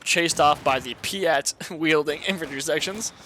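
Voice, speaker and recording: Man, hoarse voice, excitable replay commentary, slightly high pitch, gunfire and artillery noise behind. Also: a little slow. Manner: excitable, laughs often, addresses chat. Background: video game gunfire and artillery.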